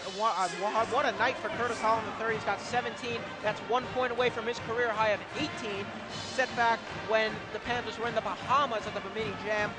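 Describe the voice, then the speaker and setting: High-voiced American male color commentator. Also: fairly even intonation, brisk, loud music behind the voice. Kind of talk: live basketball analysis. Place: courtside in an indoor arena.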